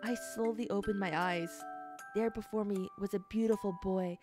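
A woman's voice: mid-range voice, steady tone, normal speed. A woman streaming casually, reading a visual novel aloud and reacting with blunt, sweary exclamations. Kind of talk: casual co-op stream chat and read-aloud narration. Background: soft game music.

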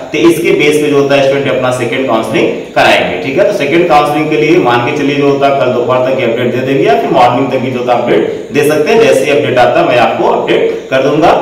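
A man's voice: mid-range voice, recorded from a distance. A young man hosting a screen-recorded tutorial, talking the viewers through a website step by step and using the same filler phrases over and over. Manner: repetitive filler phrases, addresses viewers as students. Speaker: education channel host. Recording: echoey room, voice off-mic.

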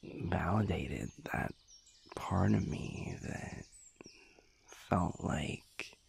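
A man playing a sweet, reflective werewolf boyfriend in an intimate audio roleplay, speaking softly and haltingly in a breathy, gravelly half-whisper. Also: sits low, a little hiss or hum, full character voice. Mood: reflective, hushed. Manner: slow, halting, soft-spoken, musing.

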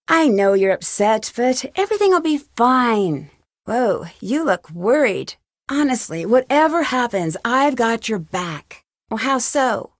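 An actress playing a young amateur detective in a video game, upbeat, caring and wry, with a friendly, lightly teasing delivery. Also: female voice, a little high, no echo, clean studio sound. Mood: reassuring. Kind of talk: scripted video game voice acting.

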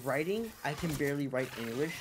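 A man in casual gaming voice chat with a gravelly voice that his friends tease as an old man's. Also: on the high side, expressive, fairly quick, heavy background noise.

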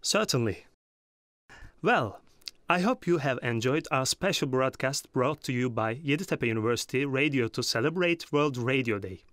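A man's voice: mid-range voice, lively intonation, moderate pace, fairly bright tone, no room sound. A man with a Turkish accent, presenting formally and politely from a script as a radio host.

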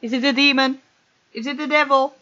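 A woman reads a visual novel aloud as a let's play host, dropping in casual remarks. Her voice sits fairly high and her manner is playful and expressive. The close-mic sound is dry and a little dull. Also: a little fast, no room sound. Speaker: gaming video host. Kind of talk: let's play, reading game text aloud with casual asides.

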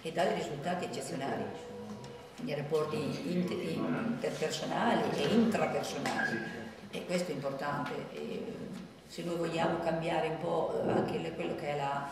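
A woman's voice: low-pitched, moderate pace, music in the background. A middle-aged woman presenting her own project in earnest, repeating phrases for emphasis. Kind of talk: personal presentation speech. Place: office room with computers. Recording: echoey room from far off, with crowd.

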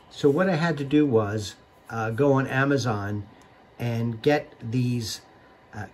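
A man with a mid-pitched voice narrates a tutorial at a slow, measured pace. He is plainspoken and takes the listener through instructions one step at a time. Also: slight background noise. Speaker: tutorial host.

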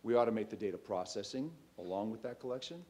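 A man around forty giving an informal startup pitch into a stage microphone, conversational and emphatic, speaking directly to his audience. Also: fast, slightly rough. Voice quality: loud voice. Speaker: startup CEO and co-founder presenting. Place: auditorium stage. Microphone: microphone on a lectern stand.

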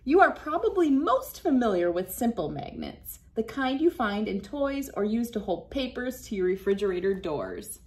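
A woman's voice: fairly high pitch, sing-song, moderate pace, hardly any pauses, a little mellow. A woman in her thirties reading a science book aloud and demonstrating for children, encouraging and speaking directly to young viewers. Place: small wood-panelled room indoors, by a window. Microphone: device camera at about arm's length.

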